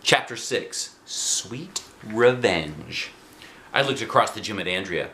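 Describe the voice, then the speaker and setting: A man, a dad, reading a children's book aloud with expressive, dramatic emphasis. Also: fairly low pitch, slow, roomy sound, a little hiss or hum. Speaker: storybook reader, a dad.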